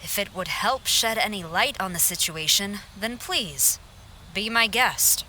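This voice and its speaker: A woman's voice: medium pitch, lively intonation, a little slow, sharp tone, slight background noise. A woman playing a stern young military general in a video game, formal and authoritative. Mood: official, explaining. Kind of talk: video game cutscene acting.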